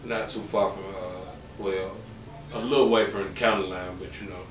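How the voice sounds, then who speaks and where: A man in his thirties with a deep voice and an Atlanta accent, a podcast guest talking in a rambling, joking, profane way. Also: steady tone, moderate pace. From Atlanta, Georgia. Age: 30s. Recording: echoey room, distant mic, music behind.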